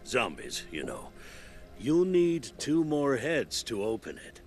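An actor playing a mocking, pretentious cultist artist, a talking severed head, theatrical and grandiose in a video game. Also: male voice, mid-range voice, wildly swooping pitch, slow, soft background music, exaggerated voice. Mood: grandiose, knowing. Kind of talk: video game cutscene acting.

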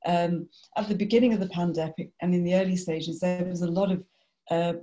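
A British woman, a doctor on a panel, explaining in a measured way with many fillers and restarts over a video call. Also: fairly low pitch, steady tone, mellow, lo-fi sound. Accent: British English. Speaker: GP and charity panelist. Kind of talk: webinar panel discussion.

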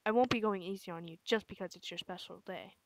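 A woman voicing a cool, quietly sincere teenage boy in a comic dub, subdued and deadpan. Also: medium pitch, fast, a little hiss or hum, voice pushed down, natural voice. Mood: solemn.

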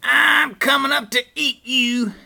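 A furious troll from a children's storybook. He bellows his threats slowly and menacingly, stretching the words out, with big swings in pitch. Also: sing-song, vocal fry, exaggerated voice. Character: angry bridge troll, shouting and menacing.

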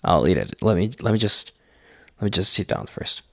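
Man acting a gravelly, hesitant young boyfriend. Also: low voice, big pitch swings, no room sound, exaggerated voice. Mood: tentative, concerned. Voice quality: husky, gravelly. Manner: halting, hesitant, soft-spoken, sighing. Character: young boyfriend, anxious, tender, hesitant, easily exasperated. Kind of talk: boyfriend roleplay ASMR.

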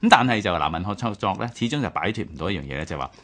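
A man's voice: deep voice, expressive, rapid-fire, fairly bright tone. A man with a Hong Kong Cantonese accent co-hosting a radio history programme, explaining points step by step in conversation.

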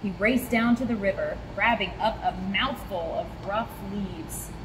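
A young woman reading a children's picture book aloud as a storyteller, expressive and clearly paced. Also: mid-range voice, measured pace, long reverb tail. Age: young adult. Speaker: zoo staff storyteller. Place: in front of a rock wall at a zoo. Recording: clear ambient background noise.

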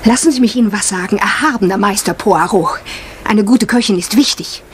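An actress playing a bossy, self-important middle-class housewife with a forthright manner in a German radio crime drama. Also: female voice, mid-range voice, a little fast, slight background noise, natural voice. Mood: indignant.

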